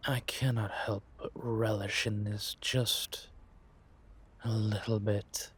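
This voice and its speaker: A man playing a sly, scheming vampire spy in an audio roleplay, husky and theatrical, savoring his words in drawn-out musings. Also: lowish voice, level tone, fairly bright tone, slight background noise. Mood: savoring, playful. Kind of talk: scripted audio roleplay monologue addressed to a companion.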